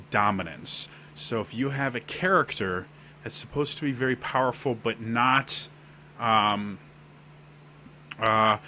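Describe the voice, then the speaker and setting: A man with a deep voice giving casual on-camera advice about role-playing, demonstrating gestures as he explains. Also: bright, forceful tone, slight background noise, poor audio. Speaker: role-playing tips video host. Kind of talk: casual instructional talk to camera. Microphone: webcam setup at desk.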